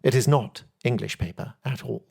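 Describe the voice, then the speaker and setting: A man performs a keen, theatrical detective from a classic storybook. He is brisk and supremely self-assured, landing his points with emphasis. The voice has a husky grain. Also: medium pitch, a little mellow. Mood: keen, triumphant. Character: brilliant, confident male detective, keen and theatrical.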